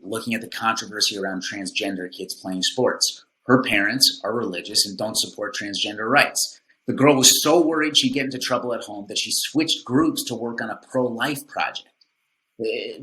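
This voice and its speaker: An actor playing a stubborn, skeptical veteran civics teacher in an audio drama, arguing bluntly. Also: male voice, fairly low pitch, bright, forceful tone, low-quality recording. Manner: blunt, argumentative.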